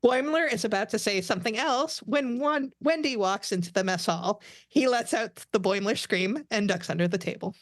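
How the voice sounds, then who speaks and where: A woman narrates stage directions for a podcast table read. Her voice sits at a medium pitch. The sound is dry and close, with little room. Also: moderate pace, few pauses, no echo.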